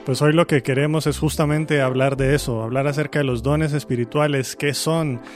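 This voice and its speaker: Higher-voiced podcast co-host, music under. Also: male voice, fairly even intonation, moderate pace. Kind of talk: casual podcast hosting.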